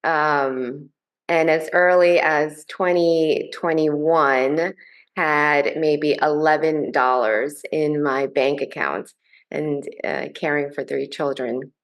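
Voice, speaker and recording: Woman from New York City, low-pitched, unhurried, measured. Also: fairly even intonation. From grew up in New York City. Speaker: panel guest. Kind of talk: casual self-introduction.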